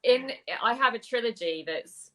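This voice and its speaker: A woman's voice: fairly high pitch, animated, moderate pace, sharp tone. Effusive woman hosting a book chat. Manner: enthusiastic, effusive. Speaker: host. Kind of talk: casual video chat interview.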